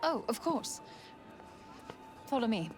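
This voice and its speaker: A woman's voice: fairly high pitch, big pitch swings, slow pace. An actress playing an earnest fantasy townswoman who speaks in a formal, solemn, old-fashioned way in a video game. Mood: puzzled, polite. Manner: formal, archaic, solemn phrasing. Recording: game music behind the voice.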